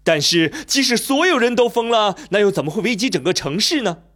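A man's voice: high-pitched, animated, a little fast, a bit bright. An actor playing a skeptical, stubborn male journalist in a radio drama, speaking in an emotional, protesting way. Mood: incredulous.

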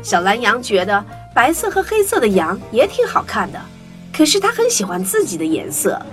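A woman's voice: fairly high pitch, wildly swooping pitch, normal speed, over music. A woman narrating a children's storybook tale in a scripted storytelling style.

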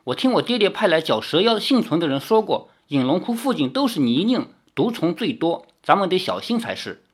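一个男人在讲故事时扮演一个大胆爱逗人的年轻女剑客，语调俏皮。. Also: male voice, sits high, sing-song, fairly quick, husky, voice pushed up, exaggerated voice. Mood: hushed warning. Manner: teasing, playful. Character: spirited young swordswoman, bold and teasing.

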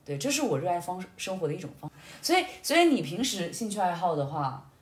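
A young woman with a fairly low voice that moves with lots of expression. She talks in a reflective, conversational way, setting out her points in order. The room around her adds a clear echo. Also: very animated, fast talker. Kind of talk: reflective casual chat. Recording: echoey, roomy sound.

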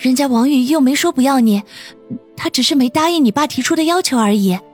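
A composed young woman, high and gentle, calmly talking others round, as a male narrator puts her on. Faint music sits under the voice. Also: a little fast. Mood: coaxing.

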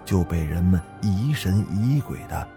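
Expressive male ghost-story narrator, lowish voice. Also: a little slow, over music. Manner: suspenseful, expressive. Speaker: host and storyteller.

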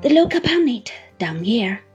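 A woman doing a quiet old fisherman. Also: very animated, moderate pace, dull audio, faint music behind. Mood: gentle. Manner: low, quiet, homely dialect speech.

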